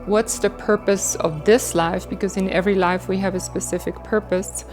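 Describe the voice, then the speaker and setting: A woman from Switzerland, a medium calmly and steadily explaining her work in a documentary interview. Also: mid-range voice, soft background music. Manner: calm, explanatory, measured. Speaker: automatic writing medium.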